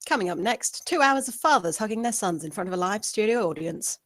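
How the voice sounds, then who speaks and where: A woman's voice: medium pitch, a little fast, no room sound, close to an everyday voice. Woman playing a serious, dry-recorded news anchor. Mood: deadpan. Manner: formal, deadpan. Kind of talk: scripted news bulletin in comedy table read.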